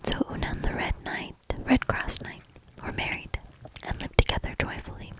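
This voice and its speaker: A woman doing soft ASMR storybook reading and quiet commentary in a breathy, gentle, hushed whisper. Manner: slow, gentle, hushed delivery.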